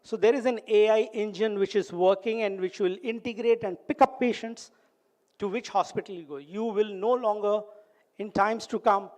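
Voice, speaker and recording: A man's voice: high voice, steady tone, normal speed, darkish tone. Indian man giving a conference talk. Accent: Indian English. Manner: earnest, instructive. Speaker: conference speaker. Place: conference hall.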